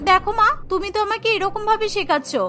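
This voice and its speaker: Woman voicing a very high-pitched sulky young daughter. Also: a little fast, fairly bright tone, soft background music. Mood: dismissive. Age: young adult. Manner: whiny, protesting. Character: sulky, lazy young daughter glued to her phone. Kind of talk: Bengali cartoon voice acting.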